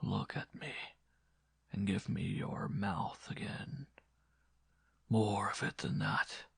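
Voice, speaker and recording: A man's voice: on the low side, heavily acted. Man acting a whispering, vengeful disfigured lover. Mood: pleading. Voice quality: breathy, hushed, often whispering. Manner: slow, quiet, pleading turning cruel. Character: disfigured blind man, bitter, menacing, vengeful former lover.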